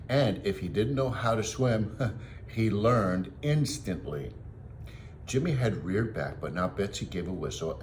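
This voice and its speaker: A man, a teacher, reading a children's storybook aloud in an expressive way. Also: deep voice, slight background noise.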